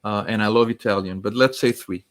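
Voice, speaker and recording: A man from France, French his first language, answering interview questions in a measured, structured way. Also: on the low side, fairly even intonation. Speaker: author and guest.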